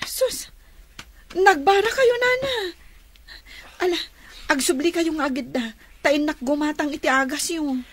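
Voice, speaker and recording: Young Ilocano woman, solicitous and anxious, actress, radio drama; high register, slight noisy ambience. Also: unhurried, pauses often. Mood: worried. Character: caring, anxious young woman looking after elderly mother-figure.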